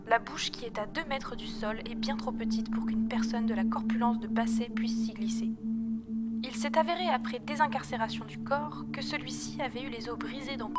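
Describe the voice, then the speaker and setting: Young woman doing a grave mission report, fairly high voice, formal and measured, music loud behind her. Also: close to an everyday voice. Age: young adult. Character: sombre female intervention team member reading a mission report. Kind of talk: scripted report narration in sci-fi audio drama.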